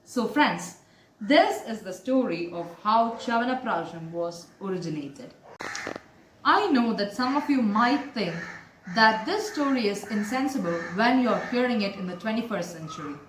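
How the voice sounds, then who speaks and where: A woman narrating a moral story as an amateur storyteller, in an expressive, deliberate way. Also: medium pitch. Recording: roomy, distant mic, faint noise.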